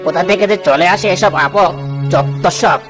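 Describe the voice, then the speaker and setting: A man playing a gruff, heartless villager in a cartoon story, irritable and dismissive. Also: sits high, normal speed, natural voice. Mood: irritated, scornful. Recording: music behind the voice.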